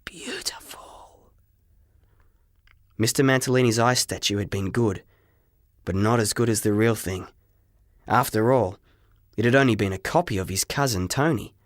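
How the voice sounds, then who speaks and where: A man narrating a story in the first person as an audiobook reader, with expressive, dramatic, deliberate phrasing. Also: lowish voice, no room sound, clean studio sound.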